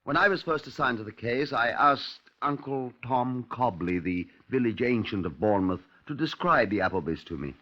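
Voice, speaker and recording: Actor as a measured British inspector, mid-pitched. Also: male voice, expressive, close to an everyday voice. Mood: measured, wry. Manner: measured, storytelling. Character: measured, wry male chief inspector recounting a case. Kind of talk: 1950s radio drama narration. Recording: dull old radio recording.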